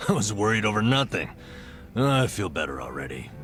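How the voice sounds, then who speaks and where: A man's voice: fairly low pitch, big pitch swings, moderate pace, fairly bright tone, faint music behind. An actor playing a stressed, boastful middle-aged vagabond in a video game cutscene, telling his tales dramatically and colloquially. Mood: relieved.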